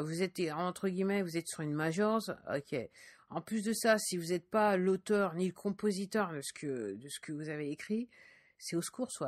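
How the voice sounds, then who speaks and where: A woman's voice: on the low side, dry recording, clean studio sound. A woman from France, an independent musician, talking casually in a monologue full of fillers and restarts. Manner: hesitant, many fillers and restarts.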